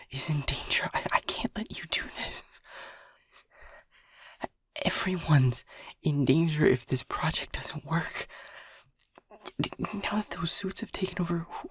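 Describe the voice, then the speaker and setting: A man playing an anxious, protective young lab technician who stammers and keeps his voice to a hushed whisper. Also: on the high side, expressive, measured pace, long pauses. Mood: urgent whisper. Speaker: audio roleplay voice actor. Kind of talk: audio roleplay acting.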